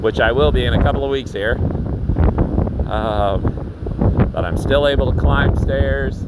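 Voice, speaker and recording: A man in his sixties hosting a rambling personal podcast from outdoors by the beach at dawn, easygoing and full of fillers, congested and a little raspy. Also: mid-range voice, measured pace. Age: 60s. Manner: easygoing storytelling with frequent fillers and restarts. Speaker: podcast host. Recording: loud wind and water noise on the mic.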